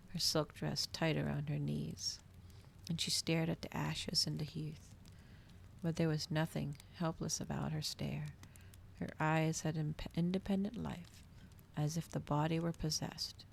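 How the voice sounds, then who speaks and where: A woman reading a story aloud in a soft, breathy ASMR style, with dramatic storytelling delivery, close to the microphone. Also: low-pitched, little pitch movement, unhurried, a little hiss or hum. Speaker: streamer reading a novel aloud.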